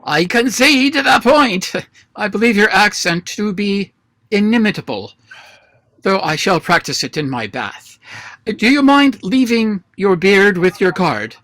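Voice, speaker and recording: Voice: mid-range voice, lively intonation, a little slow, a bit bright, dry recording. An actor playing imperious, mocking anarchist leader Sunday. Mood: drily mocking. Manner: pompous, commanding, drily mocking. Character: Sunday, imperious scornful male president of an anarchist council. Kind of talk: radio play read aloud. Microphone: video call.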